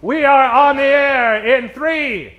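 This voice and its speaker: A man's voice: extremely high pitch, slow, highs cut off. A man hosting a live comedy show from a stage, emceeing theatrically in a 1940s radio style and projecting like a showman. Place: stage in front of a live audience. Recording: echoey live stage sound.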